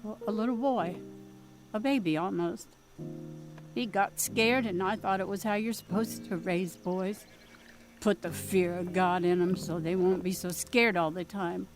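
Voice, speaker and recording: A woman's voice: medium pitch, fairly even intonation, a little slow, over music, close to an everyday voice. Actress as a folksy, plainspoken farm mother. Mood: remorseful. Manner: homespun, rambling storytelling. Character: folksy farm mother, wry, plainspoken, remorseful about her son. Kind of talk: audio drama acting, conversational.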